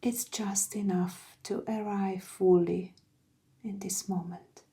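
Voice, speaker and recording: A woman guiding a meditation in a soft, soothing, gentle voice with breathy phrase endings. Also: medium pitch, fairly even intonation. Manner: soothing, gentle, unhurried with long pauses. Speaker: meditation guide. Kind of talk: guided meditation narration.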